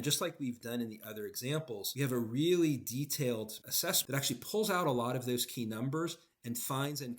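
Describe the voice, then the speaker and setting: A man giving an explanatory software demo in an emphatic, conversational way. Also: mid-range voice. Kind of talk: explanatory product demo, semi-scripted talk.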